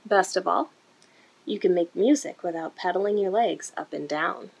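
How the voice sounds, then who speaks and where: A woman reading a children's picture book aloud in an expressive, deliberate way for young listeners, recorded indoors. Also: lowish voice, vocal fry, slightly rough, poor audio. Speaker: children's story-time reader for a museum. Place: indoor room.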